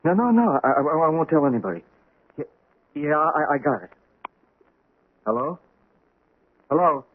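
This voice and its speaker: Stammering, jittery husband. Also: male voice, on the high side, sing-song, normal speed, breathy edge. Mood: nervous. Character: nervous stammering husband paying a ransom. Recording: tinny old radio broadcast recording.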